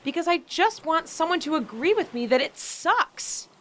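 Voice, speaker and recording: A woman acting in a modern audio drama as a gentle, ailing young sister, earnest and reflective, with quiet resolve. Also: very high-pitched, expressive, moderate pace. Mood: frustrated.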